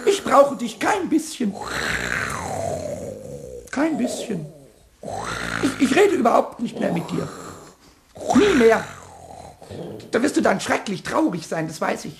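A man playing a childish, mischievous little kobold in a children's radio play, boastful and whiny, stammering and repeating words excitedly. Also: very high voice, slow pace, creaky, pauses often, background noise, exaggerated voice. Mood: sulky, pleading.

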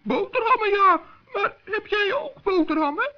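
Little male gnome, extremely high squeaky voice, earnest, scolding, 1960s children's radio play, muffled recording. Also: lively intonation, normal speed. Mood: curious, suspicious. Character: small male gnome, kindly but strict and indignant.

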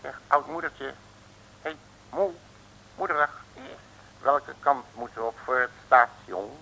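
An actor in a 1950s radio play playing a bumbling detective who stammers and blusters with comic bravado. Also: male voice, mid-range voice, unhurried, vocal fry, bright, forceful tone, a little hiss or hum, rough recording. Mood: blustering. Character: boastful bumbling male detective.

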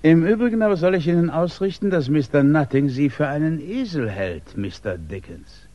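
A man's voice: a little high, lively intonation, moderate pace, close to an everyday voice. Brusque police sergeant with swinging intonation acted by a man. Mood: curt, smug. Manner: brusque, dismissive, curt. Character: gruff, sceptical English police sergeant on night desk. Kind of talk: 1960s German radio crime drama acting. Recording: dull 1960s radio recording.

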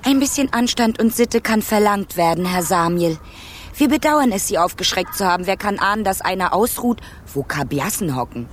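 An actress playing a plucky, stubborn teenage princess in a radio play, pert and prim in manner. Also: female voice, mid-range voice, expressive, normal speed, a little hiss or hum, natural voice. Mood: indignant, prim. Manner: pert, dignified, matter-of-fact. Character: plucky, prim, stubborn fifteen-year-old fairy-tale princess. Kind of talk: German radio play acting.

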